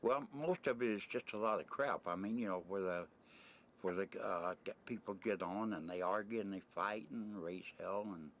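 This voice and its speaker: Older American male interviewee, low voice, blunt and rambling, on slightly noisy old videotape sound. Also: normal speed. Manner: rambling, blunt, plain-spoken, mildly profane. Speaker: interviewee, retired merchant seaman. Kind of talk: casual spoken interview. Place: on location at a desert camp, ambient noise.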